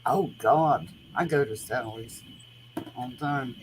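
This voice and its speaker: A woman's voice: extremely low pitch, slow pace, slight background noise, poor audio. A middle-aged grandmother chatting casually, with homey, rambling talk and folksy grammar. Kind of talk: casual chat.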